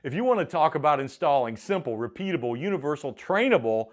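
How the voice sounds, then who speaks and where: A man's voice: a little high, very animated, quick pace. A middle-aged man giving a motivational monologue as a leadership coach, speaking in a punchy, emphatic, challenging way. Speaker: leadership coach and show host.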